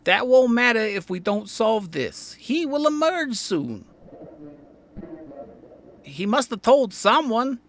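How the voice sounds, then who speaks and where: A woman's voice: fairly high pitch, big pitch swings, slow pace, long pauses, slight background noise, heavily acted. A woman playing a harried, cynical, short-tempered city mayor in an audio drama, curt and exasperated. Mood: urgent, irritated.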